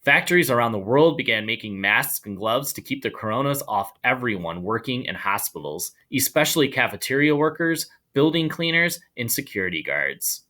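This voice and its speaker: A man reading a children's story aloud in an animated storytelling style. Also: mid-range voice, normal speed, hardly any pauses. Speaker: storybook reader.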